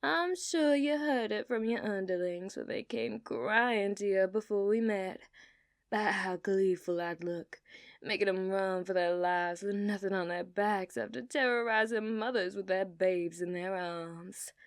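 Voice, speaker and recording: Woman doing a dominant outlaw cowgirl, put-on Southern drawl, slow delivery, soft whispers, ASMR roleplay. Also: medium pitch, no room sound, clean studio sound, natural voice. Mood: menacing. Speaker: ASMR performer.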